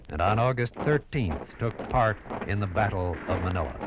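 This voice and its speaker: A man narrating a 1950s radio history program in a measured, dramatic way. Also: mid-range voice, muffled recording, noisy background.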